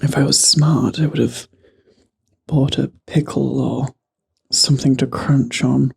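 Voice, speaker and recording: A man who is losing his voice and sounds hoarse and raspy. He chats casually in an ASMR-style whisper, joking at his own expense and slipping between whisper and voice, into a handheld mic. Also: medium pitch, steady tone, a little slow, darkish tone. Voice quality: raspy, guttural. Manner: chatty, self-deprecating, drifts between whisper and voice. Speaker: vlogger. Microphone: handheld microphone.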